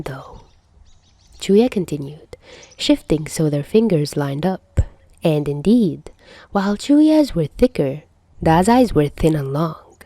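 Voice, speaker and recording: A woman reading fan fiction aloud as an expressive amateur audiobook narrator. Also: fairly low pitch, no echo.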